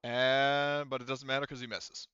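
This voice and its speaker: A man runs a tabletop roleplaying game with a forceful, clear voice at a middling pitch. His narration is casual and informal, and the close, dry sound is slightly dull and lo-fi. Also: little pitch movement, normal speed, no echo. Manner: informal, occasional swearing. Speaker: game master.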